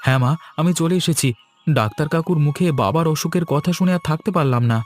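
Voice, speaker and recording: A man's voice: on the high side, a little fast, faint music behind, lightly acted. A man voicing a dutiful young son who has come home, in a cartoon. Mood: eager, concerned. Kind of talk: Bengali moral-tale cartoon dubbing.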